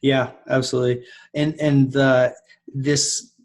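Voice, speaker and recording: Halting mid-pitched man, podcast host. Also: flat delivery. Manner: hesitant, halting with many uh and restarts. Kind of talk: casual podcast conversation.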